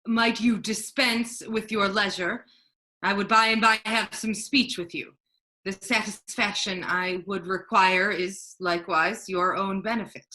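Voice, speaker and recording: A woman playing a disguised duke posing as a grave, counseling friar, in measured, formal Shakespearean verse. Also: mid-range voice, fairly even intonation, bright, forceful tone. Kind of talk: staged reading of Shakespeare.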